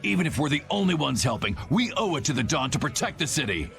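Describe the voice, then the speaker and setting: A man's voice: a little high, big pitch swings, quick pace, creaky, fairly bright tone, full character voice. An actor playing a proud, indignant townsman in a video game, speaking insistently. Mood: defiant. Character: defiant, proud townsman of a guild city. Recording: music under the voice.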